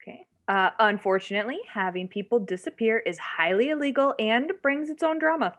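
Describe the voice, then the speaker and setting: A woman playing a practical, gently wry parent helping a teenager in a screenplay table read. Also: fairly high pitch, brisk, lightly acted. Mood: helpful, wry.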